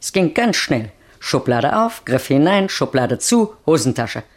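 A woman's voice: extremely low pitch, very animated, measured pace, exaggerated voice. An actress playing an eager, emphatic female witness in a vintage radio crime drama. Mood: insistent. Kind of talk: 1950s-60s German radio crime drama acting.

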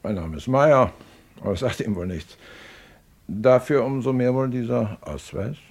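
An actor playing a brisk, no-nonsense police detective in a crime radio play, clipped and businesslike. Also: male voice, medium pitch, expressive, darkish tone, slightly rough. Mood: insistent, businesslike.

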